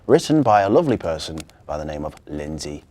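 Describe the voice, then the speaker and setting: Very animated, husky male storyteller. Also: extremely low pitch, a little fast, no echo. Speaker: story reader.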